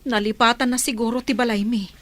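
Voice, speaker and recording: A woman's voice: a little high, steady tone, fast, close to an everyday voice. A woman playing a worried, anxious daughter in an audio drama. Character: worried daughter searching for her missing mother.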